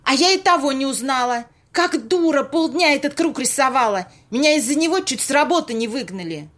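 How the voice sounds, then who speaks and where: An actress plays an excitable woman at a séance in an audio drama. Her voice is high and her speech is colloquial and prone to grumbling. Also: a little fast. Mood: shaken, grumbling. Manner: colloquial, complaining. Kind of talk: amateur audio play acting.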